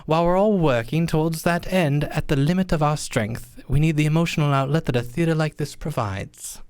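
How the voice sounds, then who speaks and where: A woman's voice: deep voice, a little fast, darkish tone, clear, resonant tone. A woman reading a formal, scripted speech in the style of an old radio broadcast.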